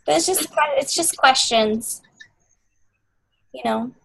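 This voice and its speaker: Bubbly high-voiced woman hosting a call. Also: takes it slowly, vocal fry, poor audio. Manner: bubbly, laughs often, excitable. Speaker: team call host. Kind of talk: casual group call chat. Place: online group call.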